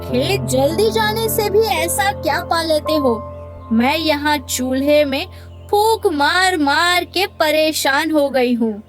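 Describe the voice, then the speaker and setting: A woman voicing an overworked, thrifty farmer's wife in a cartoon story, with a complaining, nagging manner. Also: high voice. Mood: weary, irritated. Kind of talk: scripted cartoon story acting. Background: background music.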